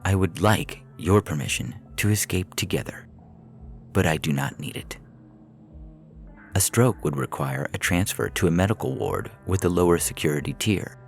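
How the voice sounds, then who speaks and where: A man reading audio fiction as a calculating artificial intelligence, coolly persuasive and menacing, speaking in a measured, clinical, formal way. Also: low voice, creaky, faint music behind. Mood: calm menace. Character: calculating prison AI, four years old, coolly persuasive, menacing.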